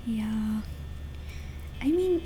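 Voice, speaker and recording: A woman with an Indian accent whispering softly and breathily in a casual, intimate ASMR style as she explains a game. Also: fairly high pitch, expressive, very slow, slight background noise, poor audio. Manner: intimate, casual, mixing two languages. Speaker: ASMR gaming host.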